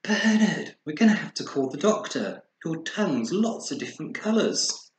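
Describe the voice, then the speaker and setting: A man's voice: on the high side, very animated, normal speed, pitched above the performer's own voice. An anxious mother bear from a children's story, played by a man, caring and fussing. The voice rises and falls expressively in a reverberant room. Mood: alarmed.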